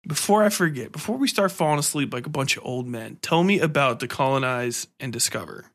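Bright-voiced man hosting a casual chat. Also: mid-range voice, animated, brisk. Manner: informal, profane, self-deprecating. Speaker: podcast host.

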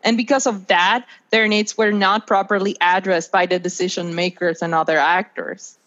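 A woman's voice: mid-range voice, fairly even intonation, moderate pace, a bit bright. A woman in her twenties from Mexico, a native Spanish speaker with a Mexican accent, giving prepared interview answers as a podcast guest. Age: 20s. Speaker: guest, nonprofit research associate. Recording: dull lo-fi video call.

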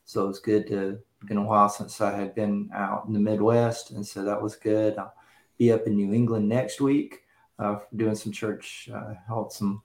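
A man guesting on a talk show, chatting easily and laughing readily. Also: lowish voice. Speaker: guest, ministry leader. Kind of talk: casual conversation. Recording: roomy sound with faint noise.

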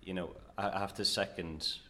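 A man's voice: low voice, fairly even intonation, normal speed, bright, forceful tone, a little hiss or hum. A man with an Irish accent, an actor guest speaking earnestly with frequent "like". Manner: earnest, uses "like" often. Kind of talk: casual chat.

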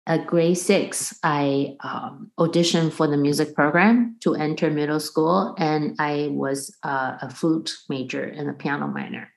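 A middle-aged woman from Taiwan talks as a guest in a conversational interview. Her voice sits low and she explains carefully, often restarting a phrase to add an example. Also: steady tone, unhurried, vocal fry, hardly any pauses. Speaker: guest, percussion professor.

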